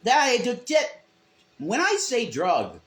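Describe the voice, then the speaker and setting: Slow, lively man in an echoey room. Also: very high-pitched. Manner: emphatic, profane, lively banter. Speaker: streamer co-host. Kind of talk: casual livestream chat.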